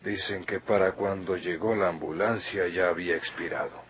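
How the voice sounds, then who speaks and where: A grave, steady Mexican confidant in a vintage radio serial. The voice is deep and very husky, with dulled old-recording sound. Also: male voice, moderate pace, dull audio. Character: grave, steady older male confidant bringing bad news.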